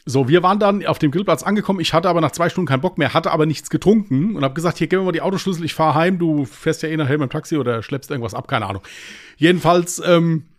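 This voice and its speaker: A man's voice: a little high, quick pace. A young man co-hosting a casual podcast, telling rambling stories and laughing at his own jokes. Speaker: podcast co-host. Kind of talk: casual chat, anecdote telling.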